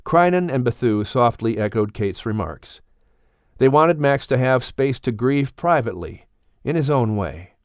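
A man narrating an audiobook story in a solemn, gentle storytelling manner. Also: mid-range voice, lively intonation, a little slow, dry recording, clean studio sound.

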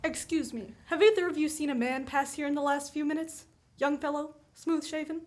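A woman acting an excitable junior policeman in a radio play. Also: sits high, fairly quick, close to an everyday voice. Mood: brisk. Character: excitable policeman, eager junior to his chief.

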